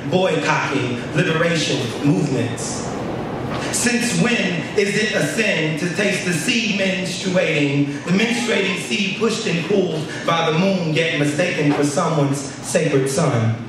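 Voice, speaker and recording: A man's high-pitched voice rings through a very reverberant room, recorded at a distance from the mic. He performs spoken word poetry with impassioned, declamatory force, shaping lines as urgent rhetorical questions. Clear noise sits under the voice. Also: a little slow. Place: live poetry reading in an echoey room.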